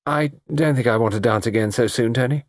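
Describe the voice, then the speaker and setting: A man voicing a light, polite and lively young society woman, breezy in manner, in an audiobook story. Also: medium pitch, a little fast, dry recording, clean studio sound, pitched above the performer's own voice, lightly acted. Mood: reluctant.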